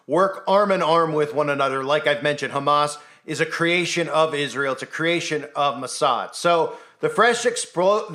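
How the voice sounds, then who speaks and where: A man's voice: fairly high pitch, a bit bright. A man delivering an opinionated news commentary monologue as an independent video host, insistent and full of rhetorical questions.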